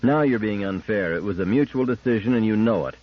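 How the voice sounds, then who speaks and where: A man's voice: fairly low pitch, fairly quick, highs cut off, close to an everyday voice. A man voicing a level-headed male engineer and team leader in a story reading, terse and reasoning. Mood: firm.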